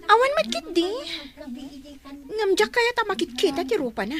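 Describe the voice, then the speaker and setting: A woman's voice: very high-pitched, very animated, normal speed, a crowd in the background. A woman playing a spoiled, resentful, disdainful schoolgirl daughter in an Ilocano radio drama. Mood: annoyed. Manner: snappish, disdainful.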